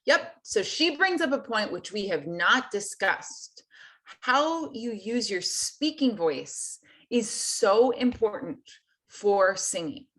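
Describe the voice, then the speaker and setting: A woman's voice: medium pitch, animated, slow, a bit bright, rough recording. Woman leading a chatty singing lesson. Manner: chatty, explanatory, apologetic asides. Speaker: singing workshop instructor. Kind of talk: online singing lesson, commenting on clips.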